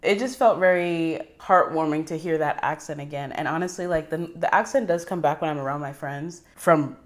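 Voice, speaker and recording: Young American woman chatting, slightly low voice. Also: normal speed. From New York City, United States. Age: young adult. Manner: rambling, chatty, slangy. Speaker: vlogger. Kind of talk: casual personal storytelling.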